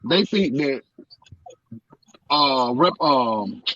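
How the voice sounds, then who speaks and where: A man's voice: mid-range voice, expressive, very slow, pushed and bright, dull audio. A man in his forties on a video-call livestream, speaking emphatically and repeating phrases for weight. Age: 40s. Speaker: live-stream panelist. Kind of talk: heated casual discussion. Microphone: headset on a video call.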